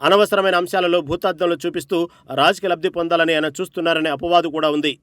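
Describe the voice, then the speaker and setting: A man's voice: a little high, little pitch movement, fast, fairly bright tone, no room sound. A man reading a scripted news report as narrator, with an emphatic, dramatic delivery.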